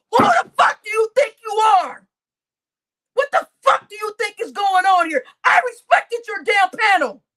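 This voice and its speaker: A woman livestreamer, crying as she rants at her chat, shouting with heavy swearing and repeating her outbursts. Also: extremely high pitch. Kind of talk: angry livestream rant to chat.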